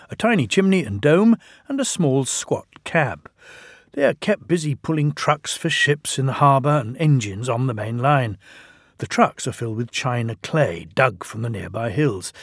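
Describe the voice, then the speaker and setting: A man's voice: mid-range voice, husky, dry recording, studio quality. A man narrating a children's storybook as an audiobook reader.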